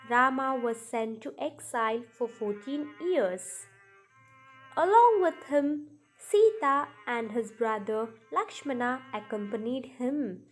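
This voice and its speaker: A woman narrating a children's story from a script, in deliberate, halting phrases with pauses. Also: sits high. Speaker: storyteller narrating a puppet show.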